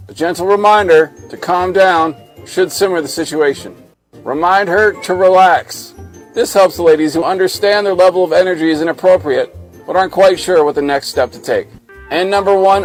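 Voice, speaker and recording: A man reading scripted mock-instructional comedy in a deadpan, authoritative way. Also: fairly high pitch, rough recording.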